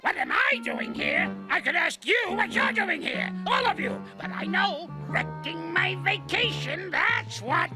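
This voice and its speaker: A man's voice: very high voice, huge pitch swings, a little slow, few pauses, exaggerated voice. An actor voicing a grumpy, insulting old male ape in a cartoon series, crotchety and scolding. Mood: exasperated. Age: older. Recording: cartoon soundtrack with loud music.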